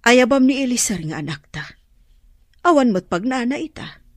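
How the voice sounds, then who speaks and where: Anxious wife for radio drama: animated pitch, slightly rough husky tone, close dry recording. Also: female voice, normal speed, no room sound. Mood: questioning, anxious.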